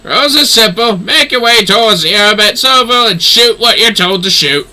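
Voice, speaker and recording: A man reading game dialogue aloud as a brisk, folksy firearms trainer, hearty and theatrical as he gives instructions. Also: high-pitched, expressive, sharp tone, pitched above the performer's own voice, exaggerated voice. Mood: hearty challenge.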